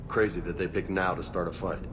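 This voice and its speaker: Guy acting as a laid-back young soldier in a video game, low voice, teasing and slangy, rumbly noise behind him. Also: fairly even intonation, brisk, close to an everyday voice. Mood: easygoing, casual. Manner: casual, slangy. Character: burly easygoing young male soldier, casual and teasing.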